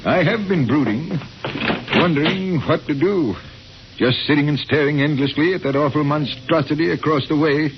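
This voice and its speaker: An actor playing an anxious, idealistic professor in an old radio drama, speaking in formal, earnest, fussy phrases. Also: male voice, a little high, normal speed, natural voice. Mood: apologetic, troubled. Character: anxious, idealistic professor with frightening psychic powers. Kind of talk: 1950s radio drama acting. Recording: noisy, muffled old radio recording.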